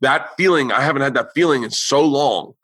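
Bright-voiced profane male podcast host. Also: medium pitch, brisk, slightly rough, no room sound. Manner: loose, profane storytelling with quoted voices. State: losing his voice. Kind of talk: casual chat.

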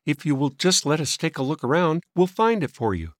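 A man's voice: on the high side, big pitch swings, fairly quick, dry recording, studio quality, pitched above the performer's own voice. A man voicing a polite, earnest, confident teenage boy detective in an audiobook. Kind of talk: audiobook character voice.

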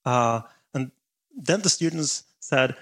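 A man interviewing a guest, talking in a halting way and often repeating words as he searches for them. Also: medium pitch, expressive. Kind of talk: interview conversation.

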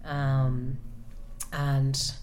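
A woman author answering audience questions about her novel, speaking thoughtfully with many pauses and restarts. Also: a bass voice, monotone, darkish tone, slight background noise. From United States. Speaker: author at a reading. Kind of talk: book talk and audience Q&A. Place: event room with an audience.

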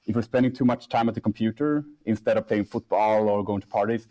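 A young man, a startup CEO, answering interview questions in a casual, halting way with many restarts and fillers. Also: on the low side, fairly even intonation, dark tone. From Sweden.

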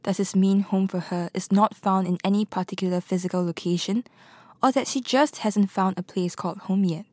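A woman from Singapore reading scripted documentary narration in a measured, reflective way. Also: medium pitch, fairly even intonation, darkish tone, no room sound. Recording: voice-over with music behind.

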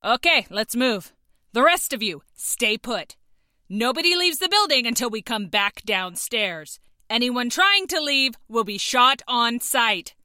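A threatening female mercenary leader played by a woman in an audio drama. She is curt and commanding, with a forceful, fairly high voice recorded dry over faint music. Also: sing-song, unhurried, no echo, heavily acted.

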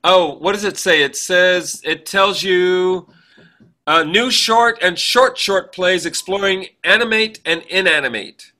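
A man's voice: sits high, a little slow, pushed and bright. A man co-hosting a podcast in casual, chatty conversation, often chiming in. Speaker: podcast co-host. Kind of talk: casual chat.

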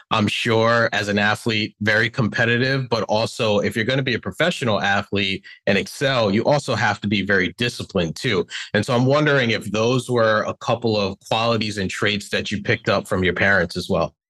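A man's voice: fairly low pitch, normal speed, sharp tone. A man co-hosting a podcast, welcoming and questioning his guest with friendly enthusiasm. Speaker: podcast co-host.

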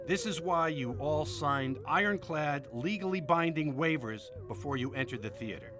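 Man as a pompous horror film showman, theatrical, swooping delivery, highish pitch, spoof trailer narration over music. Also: expressive, normal speed, close to an everyday voice. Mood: grandiose, sly. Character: hammy old-time horror movie showman hawking a gimmick.

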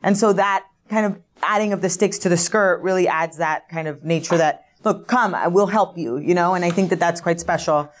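A woman speaks excitedly about an artwork, leaning on "you know" and "like" as she talks. Her voice is on the lower side for a woman. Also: moderate pace, few pauses. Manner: enthusiastic, filler-heavy, lots of "you know" and "like". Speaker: auction house specialist. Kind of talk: interview describing artworks.

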